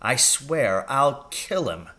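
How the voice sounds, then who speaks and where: A man plays a protective father from a storybook. His voice is bright and raised above an ordinary talking pitch, with an emphatic, urgent delivery. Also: sing-song, slow pace, full character voice. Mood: furious. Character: caring, fiercely protective father of a schoolboy. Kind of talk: acted storybook reading.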